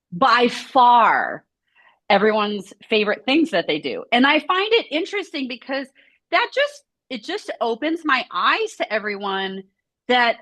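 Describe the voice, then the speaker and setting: Chatty woman talking fast. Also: a little high, pushed and bright, no echo. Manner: chatty, rambling, lots of "like" fillers. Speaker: scoliosis movement studio owner. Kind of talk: casual video chat conversation.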